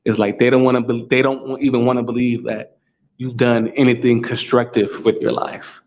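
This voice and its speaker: A man's voice: mid-range voice, little pitch movement, normal speed, a little mellow, lo-fi sound. An American man hosting his own talk show, speaking in a solo monologue and driving his points home by saying them again and again. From United States. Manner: repetitive, emphatic, restating points.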